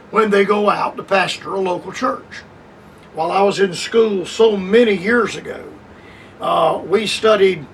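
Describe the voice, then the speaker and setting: An older man teaches scripture on a radio broadcast in a high, earnest voice. He talks in measured phrases, repeating words and pausing on uh. The mic sits a little far off, with faint hiss behind him. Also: fairly bright tone. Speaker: radio preacher.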